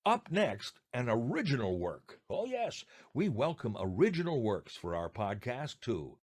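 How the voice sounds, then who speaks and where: A man's voice: medium pitch, very animated, measured pace, a little mellow. An older American man co-hosting a podcast, presenting scripted material with theatrical, emphatic phrasing. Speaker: podcast co-host. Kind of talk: scripted radio-style presenting.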